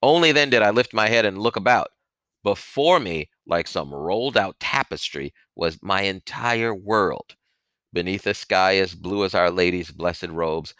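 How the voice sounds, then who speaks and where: A man reading a novel aloud as expressive first-person narration, with deliberate, dramatic phrasing and emphatic stresses, close on a headset mic. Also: low voice, no room sound. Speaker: audiobook reader, book-reading host.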